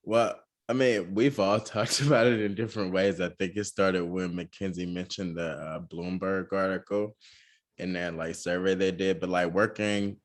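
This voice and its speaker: A young man co-hosting a podcast, talking casually with lots of fillers and a monotone delivery. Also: low voice, normal speed. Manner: relaxed, many fillers, says he talks monotone. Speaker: podcast co-host.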